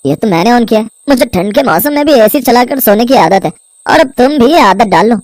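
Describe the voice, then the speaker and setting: Woman playing stubborn young husband, animated delivery. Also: fairly high pitch, a little fast, no room sound, clean studio sound, voice pushed down. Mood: dismissive. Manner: dismissive, insistent.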